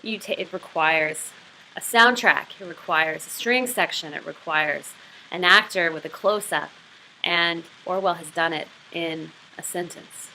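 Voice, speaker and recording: A woman teaching a writing lesson, explaining and reading passages aloud in an expressive way. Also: fairly low pitch, unhurried, gravelly, a little hiss or hum, low-quality recording. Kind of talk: literary craft lesson with read-aloud passages.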